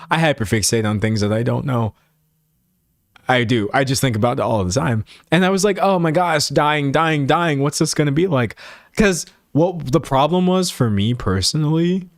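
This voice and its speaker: A man in his late twenties chatting casually to viewers as a livestream host, rambling in an animated, self-mocking way and acting out imagined remarks. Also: mid-range voice, normal speed, no room sound, clean studio sound.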